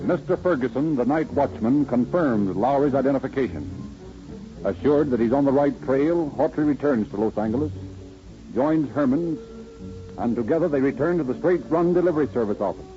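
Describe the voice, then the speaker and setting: A man's voice: medium pitch, lively intonation, a little fast, soft background music. A man with an American accent reading scripted narration for a 1930s radio crime drama. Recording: muffled vintage radio recording.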